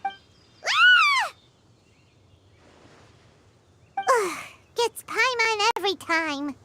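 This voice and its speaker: A woman voicing a small excitable fairy-like companion who talks about herself in the third person, in a video game. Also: extremely high pitch, very slow, a little hiss or hum, full character voice. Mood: startled.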